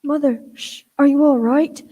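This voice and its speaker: A woman's voice: high voice, fairly even intonation, moderate pace, dark tone, highs cut off, close to an everyday voice. A woman playing an anxious, earnest teenage boy in a radio play. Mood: anxious, urgent. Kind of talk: youth radio play acting.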